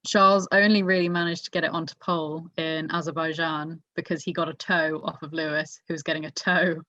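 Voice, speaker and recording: A woman's voice: sits low, flat delivery, fairly quick, sharp tone. A woman chatting casually about motorsport as a podcast co-host, giving her opinions emphatically. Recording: echoey room, dull lo-fi mic.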